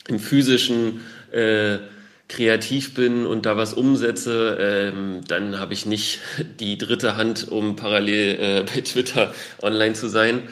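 Low, thoughtful male guest artist. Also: a bit bright. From Berlin. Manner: thoughtful, many fillers, rambling. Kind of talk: casual podcast conversation.

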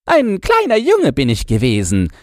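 A man voicing a boastful, nostalgic old chained dog in a shrill, yapping falsetto for a storybook. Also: huge pitch swings, moderate pace, a little mellow, dry recording, studio quality. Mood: wistful, nostalgic. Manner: knowing, exclamatory, barking.

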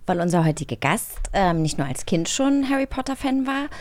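A woman's voice: mid-range voice, big pitch swings, a little fast, no echo. A woman co-hosting a podcast in an informal, slangy, chatty way. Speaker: podcast co-host. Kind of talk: casual podcast chat.

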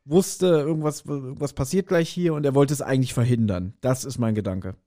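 A man's voice: mid-range voice, fairly quick, warm tone. A man co-hosting a podcast in casual chat, speculating and thinking aloud somewhat hesitantly. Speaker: podcast co-host. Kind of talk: casual chat, speculating about an audio drama.

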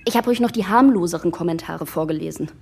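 A woman's voice: on the low side, fast, creaky, a little mellow, husky. An actress playing an impatient, forthright young woman pushing for change in an office audio drama, pointed and insistent.

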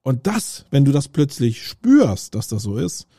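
A man's voice: mid-range voice, very animated, measured pace, dark tone, slightly rough, no echo. German man musing on a dry mic. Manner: thinking aloud, many fillers and restarts. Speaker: podcast host. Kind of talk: casual solo podcast monologue. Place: outdoors on a city street with roadwork noise.

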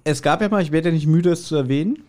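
A man's voice: on the high side, very fast, darkish tone. A man chatting casually as a podcast host, telling rambling stories with mock grievance.

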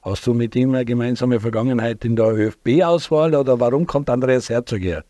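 Austrian talk show host guy, jokey and chatty, warm mellow voice, dry close mic. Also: mid-range voice, brisk, no room sound. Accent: Austrian German. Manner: chatty, joking, leads with questions. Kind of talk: casual talk show hosting.

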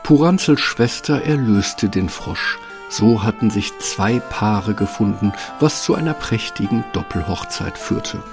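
A man's voice: lowish voice, expressive, unhurried, hardly any pauses, music in the background. A man narrating a scripted fairy tale for an audio play.

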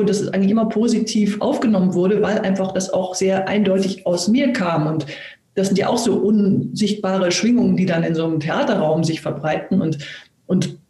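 A middle-aged woman, an actress, speaking reflectively and searchingly in conversation, with many pauses. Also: mid-range voice, echoey room. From Germany. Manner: thoughtful, searching, soft-spoken with many pauses. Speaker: actress and co-host.

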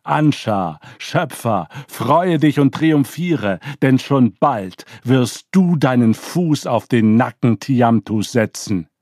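Male reader doing an eager young god-hero, proud declaiming; dark, husky tone. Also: mid-range voice, lively intonation, slow pace, few pauses, dry recording, studio quality, pitched above the performer's own voice.

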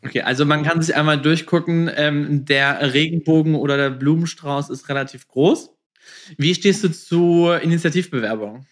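A man's voice: on the high side, normal speed. A man hosting a podcast in casual interview chat, colloquial and direct, mixing in English business slang. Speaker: podcast host.

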